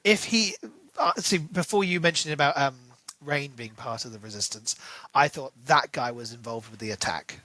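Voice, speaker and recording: A man's voice: mid-range voice, normal speed, sharp tone, no room sound, poor audio. A man co-hosting a podcast, talking casually as he discusses a book. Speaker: podcast co-host.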